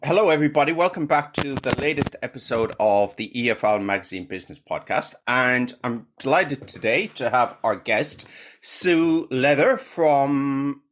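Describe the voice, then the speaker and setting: A man hosts an interview podcast. His voice is of middling pitch, and his delivery is friendly. He stumbles a little when his questions are unscripted. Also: expressive, normal speed, fairly bright tone. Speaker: podcast host.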